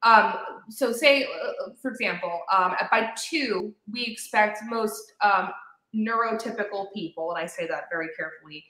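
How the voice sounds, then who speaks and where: A woman's voice: medium pitch, normal speed, bright, forceful tone, echoey room, low-quality recording. A woman in her thirties, a speech pathologist, explaining things carefully with many fillers on a video call. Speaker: speech language pathologist guest. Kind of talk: casual chat. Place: home room on a video call.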